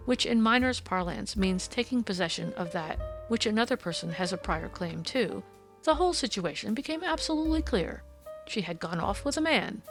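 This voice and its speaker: A woman narrator plays an analytical Victorian detective in a storybook. He is courteous and sure of himself, laying things out in precise, formal sentences. The voice sits fairly high, over faint music. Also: vocal fry, a bit bright, exaggerated voice. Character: brisk, analytical male Victorian detective, courteous and self-assured.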